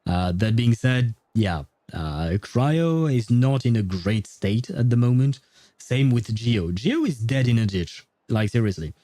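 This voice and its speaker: A man's voice: mid-range voice, animated, measured pace, dark tone, no echo. A man rambling casually on a game livestream, thinking aloud as he answers his viewers.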